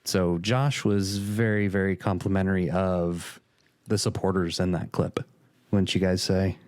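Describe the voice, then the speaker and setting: A man's voice: low voice, fairly even intonation, a little slow, a little mellow, no echo, clean studio sound. A man hosting a podcast, talking in an earnest casual way with restarts. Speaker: podcast host. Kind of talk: casual chat.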